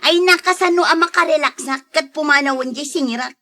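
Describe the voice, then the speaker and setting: A woman acting a sharp-tongued, easily annoyed moneylender and wife in an Ilocano audio drama, exclaiming and complaining. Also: high-pitched, brisk. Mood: irritated, impatient.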